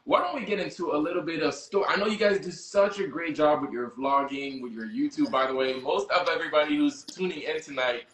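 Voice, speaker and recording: Upbeat laughing male co-host, echoey. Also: fairly high pitch. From Miami. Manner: laughing, animated, drawn-out emphasis. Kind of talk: casual chat show hosting.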